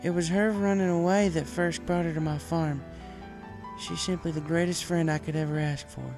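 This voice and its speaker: Narrator doing a loyal farm pony girl, sincere, creaky, music behind. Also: medium pitch, fairly even intonation, normal speed, lightly acted. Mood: sincere, devoted. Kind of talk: storybook character reading.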